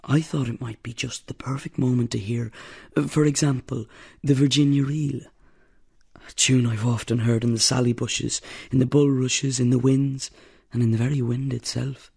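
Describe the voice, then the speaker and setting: A man playing an eager, gravelly Irish fan of the pipes. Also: medium pitch, fairly even intonation, normal speed, darkish tone. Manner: eager and wheedling, dreamy when describing the music. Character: Irish pipe fan, eager and a bit boozy.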